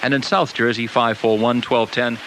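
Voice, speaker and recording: A man's voice: mid-range voice, fairly even intonation, moderate pace, a bit bright. An American man hosting a live radio call-in interview, agreeable and often chiming in. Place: radio call-in program, taped off air. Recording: noisy home cassette of radio broadcast.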